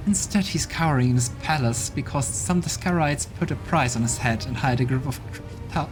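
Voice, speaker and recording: A man's voice: medium pitch, lightly acted. A man voicing an arrogant, haughty female demon who talks with contempt, over game music. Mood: scornful.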